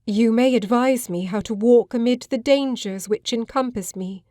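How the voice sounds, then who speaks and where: A woman acts out a distraught Victorian lady of thirty in an audiobook drama. Her voice is high and agitated, pleading in formal phrases, recorded close and dry. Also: moderate pace, mellow, no room sound, close to an everyday voice. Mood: anguished. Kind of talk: full-cast audiobook drama acting.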